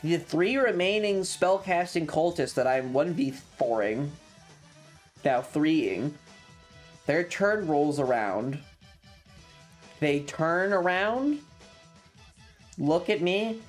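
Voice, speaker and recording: Casting: streamer with a medium-pitched voice, casual and profane, emphatic dramatic storytelling for a chat audience, music underneath. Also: lively intonation, slow, pauses often. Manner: emphatic, repeats phrases for drama; casual, swearing. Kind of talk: animated storytelling to a livestream chat about a tabletop game.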